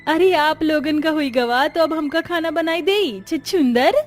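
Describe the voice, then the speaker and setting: Brash young Bhojpuri village bride played by a woman, very high voice, teasing, comic story. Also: measured pace, soft background music. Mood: impatient.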